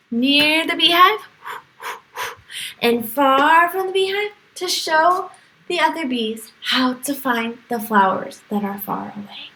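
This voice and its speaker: Female children's read-aloud teacher: high-pitched, unhurried, animated, talking straight to her young class. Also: rough recording. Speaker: teacher reading to a virtual class.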